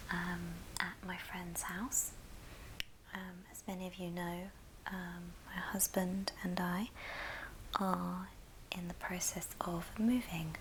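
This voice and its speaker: A woman hosts an ASMR craft tutorial in a soft, breathy whisper. She goes very slowly and gently, step by step, in a slightly low pitch. The recording has clear background noise with small clicks. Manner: unhurried, gentle step-by-step delivery. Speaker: host of an ASMR craft channel. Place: indoors at a friend's house. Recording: clearly noisy room, clicking sounds.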